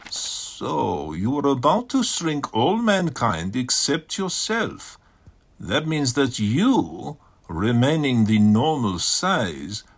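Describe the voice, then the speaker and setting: An actor playing a calm, sceptical psychiatrist in an audio drama, questioning a patient in a measured, coaxing way. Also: male voice, mid-range voice, slightly rough, heavily acted.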